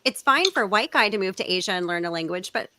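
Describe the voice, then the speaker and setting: A woman hosting a casual discussion as a comedian, talking in an animated way and acting out quoted remarks. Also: mid-range voice, quick pace, background noise. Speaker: host and stand-up comedian.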